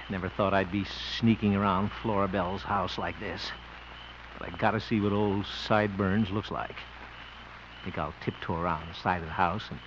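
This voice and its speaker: Casual: flustered jealous boyfriend sneaking around, low, grumbling to himself, old radio with heavy hiss. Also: male voice. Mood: sulky. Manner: blustery, flustered muttering to himself. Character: jealous, bumbling grown man sneaking on his sweetheart. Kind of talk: 1950s radio sitcom acting. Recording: dull old radio recording with loud hiss.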